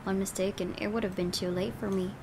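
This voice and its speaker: A woman's voice: mid-range voice, little pitch movement, fast talker, noisy background. A woman hosting a gaming video, reading story narration aloud dramatically with casual reactions. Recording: game music clearly behind. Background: game music.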